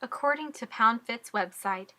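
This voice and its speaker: Bright-voiced young woman news reporter. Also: a little high. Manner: clear, measured reporter delivery. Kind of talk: news reporting and voice-over narration.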